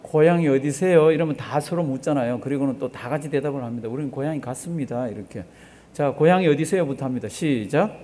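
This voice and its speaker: A man's voice: mid-range voice, fast, warm tone. A male pastor preaching a sermon in a conversational way, putting rhetorical questions to his congregation and repeating phrases for emphasis. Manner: conversational, asks the congregation rhetorical questions and has them respond.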